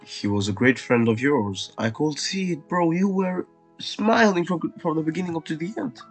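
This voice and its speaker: A man's voice: fairly high pitch, moderate pace, breathy edge, soft background music. A man hosting a let's play, giving casual commentary on a video game.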